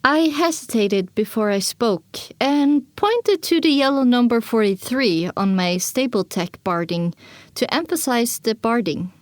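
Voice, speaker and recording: Slow, high-voiced woman narrator. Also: animated, dry recording. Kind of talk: dramatic first-person scripted narration.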